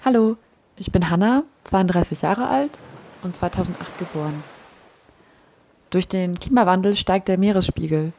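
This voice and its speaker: A woman in her thirties playing a coastal resident, speaking calmly and earnestly. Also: lowish voice, moderate pace, pauses often, a little hiss or hum, lightly acted. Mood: plain. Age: 30s.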